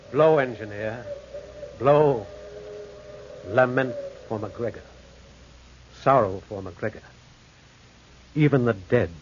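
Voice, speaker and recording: An actor playing a solemn, mournful teller of ghost tales in theatrical old-time radio horror style. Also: male voice, medium pitch, a little mellow. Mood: lamenting, then sorrowful. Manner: slow, portentous, mournful. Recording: muffled, noisy old radio recording.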